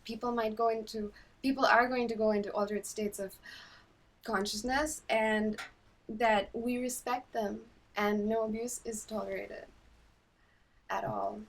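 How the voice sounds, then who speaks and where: Higher-voiced woman, far from the mic. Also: steady tone, a little slow. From Bangladesh. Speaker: group participant. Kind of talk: spontaneous personal sharing. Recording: distant microphone on group video call.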